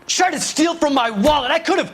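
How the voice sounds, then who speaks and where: An actor playing a bitter, raging suspect who justifies himself with heated contempt in a dramatic game. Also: male voice, very high voice, lively intonation, quick pace, faint music behind. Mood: enraged, contemptuous. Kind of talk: dramatic video game acting.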